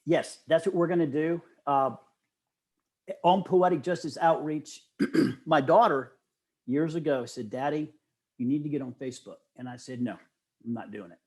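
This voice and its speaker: Higher-pitched middle-aged man chatting casually. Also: moderate pace, pauses often, a little mellow. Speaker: interview guest, poet. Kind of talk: casual storytelling interview.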